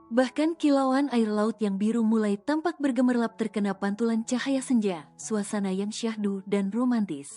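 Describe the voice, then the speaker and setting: A woman narrating a romance audio novel in a steady, smooth storytelling manner. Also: on the high side, normal speed. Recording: soft music underneath.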